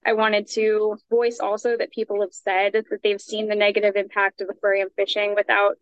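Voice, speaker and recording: A woman's voice: mid-range voice, fairly bright tone, clear, resonant tone, no room sound, dull audio. A woman from Hawaii speaks up on a video call, arguing her point firmly and steadily, with an occasional "um." The sound is dry and close, with no room in it. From Kailua-Kona, Hawaii. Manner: firm, argumentative, with some filler ums. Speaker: public commenter. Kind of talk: prepared public testimony.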